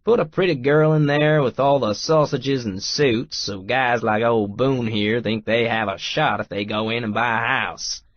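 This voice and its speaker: A man voicing a teasing, skeptical, rough-edged brother with folksy, needling talk in an audiobook. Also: mid-range voice, fairly even intonation, normal speed, hardly any pauses, no room sound, lo-fi sound, natural voice. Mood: mocking. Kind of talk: audiobook character dialogue.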